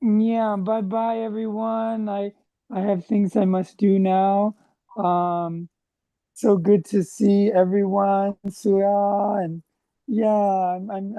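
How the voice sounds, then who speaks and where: A woman saying goodbye to an online group, halting and repeating words. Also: mid-range voice, mellow, no room sound, low-quality recording. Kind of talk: casual farewell on a video call. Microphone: video call.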